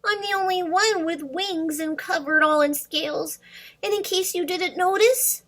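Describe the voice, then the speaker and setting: A woman's voice: extremely high pitch, a little slow, a bit bright, pitched above the performer's own voice. A woman voicing a naive, childlike young male pet dragon in an exaggerated storybook read-aloud. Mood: sad, dejected.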